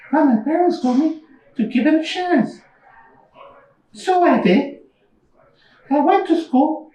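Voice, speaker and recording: Super high squeaky kid monster puppet, talks slow, echoey room. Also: female voice, warm tone, muffled recording. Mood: glum. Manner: childlike, simple storytelling to an audience. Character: young squeaky monster puppet, friendly, emotional schoolkid. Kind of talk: live puppet show performance. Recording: echoey room, slightly distant mic.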